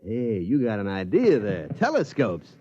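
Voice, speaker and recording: A man's voice: lowish voice, wildly swooping pitch, normal speed, warm tone. An actor playing a streetwise hustler in a space gambling town, talking in folksy, ungrammatical tough-guy slang in a 1950s radio drama. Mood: breezy, streetwise. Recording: dull 1950s radio recording.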